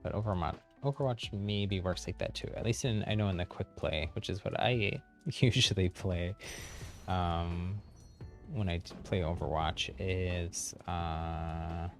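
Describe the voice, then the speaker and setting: A man's voice: deep voice, measured pace. A man streaming a game, giving casual, easygoing explanatory commentary to viewers. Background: game music.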